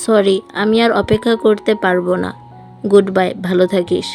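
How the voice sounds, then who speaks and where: A woman's voice: medium pitch, fairly even intonation, normal speed, gravelly, a little mellow, soft background music, natural voice. A woman voicing a heartbroken, longing young woman in a romantic story, informal and pleading. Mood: hurt, resigned.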